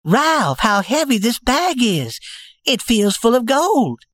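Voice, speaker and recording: Man voicing high, lilting young fiancée. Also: very animated, slow pace, a bit bright, no echo, clean studio sound, exaggerated voice. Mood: playful, surprised. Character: playful, hopeful young woman engaged to be married. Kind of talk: audiobook character voice.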